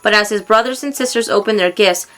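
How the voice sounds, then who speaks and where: A woman reads a children's storybook aloud in an expressive way, acting out the exclamations and dialogue. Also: mid-range voice, fast, a little hiss or hum. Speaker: storybook reader.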